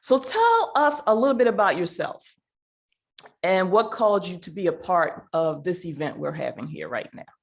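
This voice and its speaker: A woman hosting an online panel discussion, setting out context slowly with many pauses and fillers over a video call. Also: fairly low pitch, sing-song, creaky. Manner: many fillers and restarts, measured. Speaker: panel host and moderator. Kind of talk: hesitant conversational moderating.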